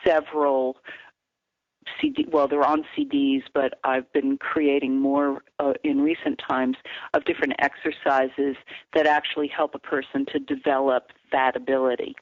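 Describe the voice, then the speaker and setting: Female vocal coach calling in, steady explanatory delivery, thin telephone sound. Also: a bass voice, no echo. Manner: measured, explanatory, teacherly. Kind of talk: phone-in radio interview. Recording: tinny phone line, dry.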